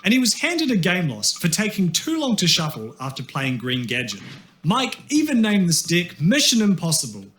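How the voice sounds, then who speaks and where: A man's voice: sits high, huge pitch swings, moderate pace, a bit bright. A man with a New Zealand accent reading scripted comedic narration in a wry, tongue-in-cheek way. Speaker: video essayist.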